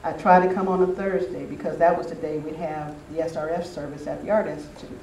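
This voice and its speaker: High-voiced older man in a roomy space. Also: steady tone, brisk, darkish tone. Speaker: speaker at a spiritual retreat. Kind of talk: informal anecdotal talk to a gathering. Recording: roomy, distant mic with faint noise.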